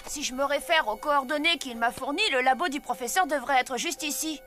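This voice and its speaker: A woman's voice: sits high, brisk, pushed and bright, faint music behind. A woman voicing an earnest, brainy young boy in a French cartoon dub.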